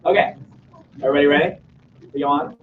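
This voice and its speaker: A young man runs a student meeting in a loose, joking way, with plenty of ums and likes. His voice comes from far off in an echoing room. The sound is dull, with clear background noise. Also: fairly high pitch, flat delivery, fast. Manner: joking, loose, filler-heavy. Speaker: student senate officer. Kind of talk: casual meeting chat and announcements. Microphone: room mic from afar. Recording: distant, roomy, dull, noisy.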